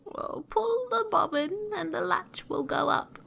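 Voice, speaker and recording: A woman's voice: very high-pitched, lively intonation, unhurried, vocal fry, a bit bright, a little hiss or hum, pitched above the performer's own voice, heavily acted. A woman voicing a frail, ailing old granny in a storybook, calling out in a quavering way. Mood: frail, inquiring.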